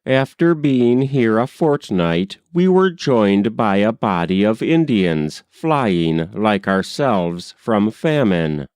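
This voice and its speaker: A man narrates a historical memoir in a formal, measured way. His mid-pitched voice moves with lively intonation at a slow pace. The sound is dry and close, with no room around it. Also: smooth, no room sound. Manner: measured, formal storytelling delivery. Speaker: audiobook reader.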